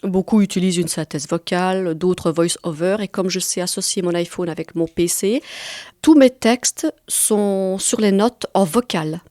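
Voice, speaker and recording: Low-pitched Belgian woman in her forties. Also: normal speed, mellow, dry recording, studio quality. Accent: Belgian French. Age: 40s. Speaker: guest author and artist. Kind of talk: conversational interview answers.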